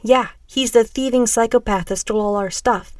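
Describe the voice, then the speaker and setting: A woman's voice: on the high side, moderate pace, a little mellow, no echo, studio quality, far from the mic, lightly acted. Woman voicing an edgy, condescending punk girl. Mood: hostile. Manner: condescending, harsh.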